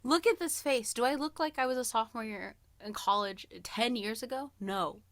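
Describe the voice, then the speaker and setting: A woman's voice: fairly high pitch, moderate pace, fairly bright tone, dry recording. A woman in her early thirties co-hosting a podcast, telling a personal story in a chatty, playful, self-deprecating way. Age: early 30s. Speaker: podcast co-host.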